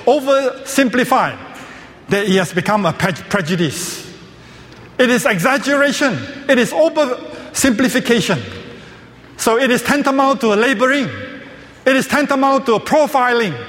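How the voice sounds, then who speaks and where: A man lectures with jokes and quiz questions that he answers himself. His voice sits very high. Long reverb from the hall trails after each phrase. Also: a bit bright. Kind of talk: joke-filled lecture.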